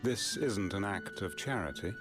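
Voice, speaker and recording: An actor playing a stern, proper innkeeper in a video game, speaking in a formal, measured, dry manner. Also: male voice, low-pitched, animated, over music. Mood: dry, firm. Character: stern, proper, unyielding male village innkeeper. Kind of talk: video game cutscene acting.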